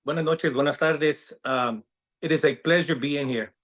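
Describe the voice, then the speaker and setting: A man's voice: on the high side, normal speed, fairly bright tone. A man in his sixties introducing himself as a candidate in a campaign speech. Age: 60s. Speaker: school board candidate.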